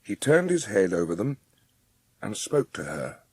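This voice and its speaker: Low, husky, expressive male storybook narrator. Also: a little slow, no echo.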